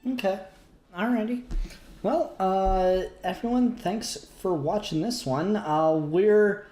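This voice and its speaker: A man hosts a casual podcast in a slightly high voice. He talks informally and jokes with loose, sweary language. The recording is noticeably roomy and echoey. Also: takes it slowly, mellow. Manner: informal, profane, joking. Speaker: podcast host. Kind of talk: casual comic book discussion.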